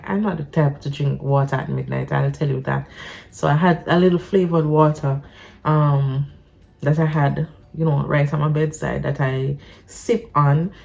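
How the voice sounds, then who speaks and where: A Jamaican woman vlogging casually from home, her voice stuffy and congested, talking in a halting way with many restarts. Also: deep voice, darkish tone. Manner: halting, with frequent restarts and fillers. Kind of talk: casual talk to camera.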